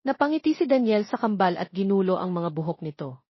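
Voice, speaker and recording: Woman, story narrator, scripted romance audiobook, mid-pitched, dry dull recording. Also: big pitch swings, a little fast, breathy edge, no room sound.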